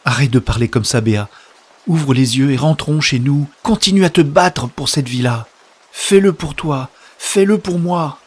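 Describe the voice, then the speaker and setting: An actor playing a devoted, desperate male companion in a science-fiction audio drama, imploring with urgent emotion in a husky voice. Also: a little high, normal speed. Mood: urgent pleading.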